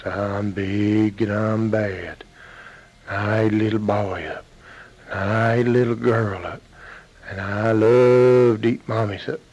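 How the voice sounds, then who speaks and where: A man's voice: on the low side, steady tone, measured pace, darkish tone, pitched below the performer's own voice. A man with a Southern Appalachian accent voicing a big, hungry, menacing grizzly bear in a folktale, chanting boastfully and roaring. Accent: Southern Appalachian American. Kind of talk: folktale storytelling.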